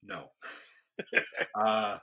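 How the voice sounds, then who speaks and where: A soft-spoken man co-hosting a casual podcast, rambling with frequent restarts. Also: expressive, extremely slow, vocal fry. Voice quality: booming when raised. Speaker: podcast co-host. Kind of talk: casual conversation. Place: home studio, remote recording.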